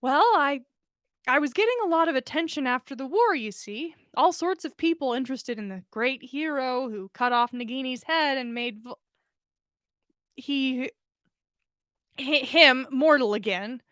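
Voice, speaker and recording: A woman's voice: high-pitched, lively intonation, unhurried, a bit bright, dry recording, clean studio sound, pitched above the performer's own voice. A woman voicing an awkward, sincere young man with a hesitant, earnest way of talking in a story reading. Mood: flustered, earnest. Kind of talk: fan fiction story reading.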